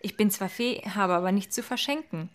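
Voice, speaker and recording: An actress playing a polite but calculating summit fairy in a fairy-tale audio drama, chatty and condescending. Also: female voice, medium pitch, brisk, close to an everyday voice. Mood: brisk, polite.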